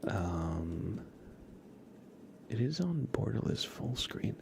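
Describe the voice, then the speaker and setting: A man hosting a casual ASMR livestream, speaking in a soft, hushed, whispery murmur close to the microphone. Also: lowish voice, very animated, slow pace, creaky, background noise.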